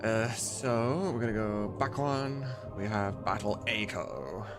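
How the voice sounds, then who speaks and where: Male livestreamer, lowish register, loose informal delivery with some swearing, talking through his work over music. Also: lively intonation, takes it slowly. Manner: informal, swearing, thinking aloud. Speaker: streamer and mod voice director. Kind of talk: casual livestream chat while working. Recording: music behind the voice.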